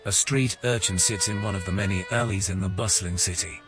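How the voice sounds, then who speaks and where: A man playing the storyteller narrator of a fantasy audio game, measured and dramatic in his scripted delivery. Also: deep voice, fairly even intonation, music in the background, lightly acted. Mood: measured, ominous.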